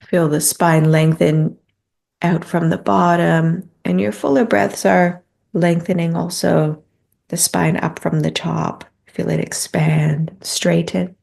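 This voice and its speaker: Slow, gravelly-edged woman guiding meditation. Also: fairly low pitch, little pitch movement. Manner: gentle, soothing, unhurried with long phrases. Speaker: meditation guide. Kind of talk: guided meditation.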